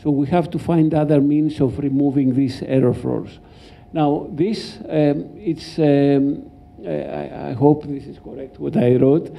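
An older man with a Greek accent giving an academic lecture as a professor, halting and explanatory, speaking into a microphone in a lecture hall. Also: fairly high pitch, fairly even intonation, creaky, warm tone. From Greece. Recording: dull, muffled lecture-hall recording.

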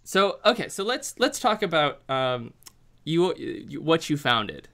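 American male host, mid pitch, chatty and rambling, stop-start phrasing. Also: big pitch swings, a little slow, sharp tone. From United States. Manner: rambling, many restarts and fillers. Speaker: podcast host. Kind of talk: casual podcast chat.

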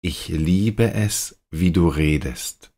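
A native German man with a very low voice reads short practice sentences for learners. He speaks slowly and deliberately, saying each line several times. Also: dark tone. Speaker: language teacher. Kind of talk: slow repeated example sentences.

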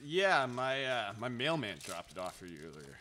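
A stressed, pleading son hosting dinner, acted by a man in a radio play. The voice sits at a middle pitch, with faint noise in the recording. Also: big pitch swings, moderate pace, sharp tone. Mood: upset. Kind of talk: amateur live radio drama acting. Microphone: microphone on stand.

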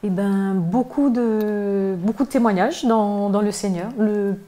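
A woman's voice: medium pitch, steady tone, a little slow, dark tone. A middle-aged woman from Martinique, raised near Paris, talking as an interview guest, hesitant with many fillers and restarts. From Martinique, grew up in Paris region. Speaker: gospel singer, guest. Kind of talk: casual interview chat. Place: living room by a piano, indoors. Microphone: handheld mic held by interviewer.

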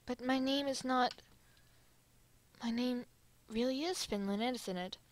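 A woman voicing a gentle, wistful anime boy, sincere and hesitant, in an amateur fan drama. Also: a little high, takes it slowly. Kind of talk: amateur fan dub roleplay.

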